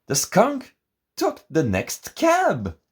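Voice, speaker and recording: A man reading a picture book aloud in a theatrical, expressive and deliberate way, as scripted narration. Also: high voice, husky. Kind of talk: dramatic scripted storybook narration.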